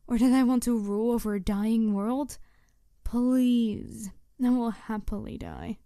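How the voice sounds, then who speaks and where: Woman acting a high-voiced, snarky female supervillain. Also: big pitch swings, mellow, no room sound, studio quality. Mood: coldly proud, bitter. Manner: theatrical, taunting, deliberate, slipping into breathy whispers. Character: snarky, bitter female supervillain gloating over her captive nemesis. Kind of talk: ASMR audio roleplay monologue.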